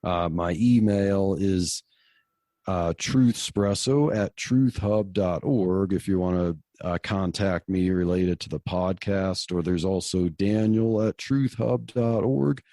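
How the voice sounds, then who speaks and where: Male interview guest, conversational and informal. Mellow, somewhat low voice with a slightly hesitant flow. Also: slow pace, no room sound, studio quality.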